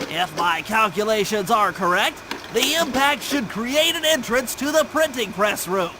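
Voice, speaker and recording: A man's voice: high-pitched, lively intonation, unhurried, background noise. A man voicing a nerdy, brainy mission planner in a video game, briefing in a precise, pedantic way. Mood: focused, instructive. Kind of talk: video game cutscene acting. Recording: background music.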